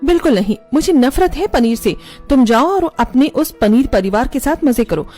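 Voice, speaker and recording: A woman's voice: sits high, lively intonation, moderate pace, dark tone, soft background music. A woman voicing a fed-up, scheming young daughter-in-law in a cartoon, complaining and exasperated.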